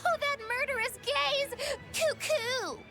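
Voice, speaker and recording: A woman's voice: very high voice, takes it slowly, full character voice. A woman voicing a mischievous, bossy young magician troupe leader in a video game, with pompous, florid showmanship. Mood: teasing. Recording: loud music behind the voice.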